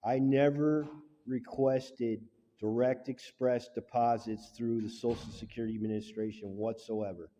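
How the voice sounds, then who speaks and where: A man speaking as a caller lodging a formal complaint, in an emphatic, halting, legalistic way, on a muffled and reverberant recording. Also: medium pitch, steady tone, mellow. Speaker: caller to a government agency.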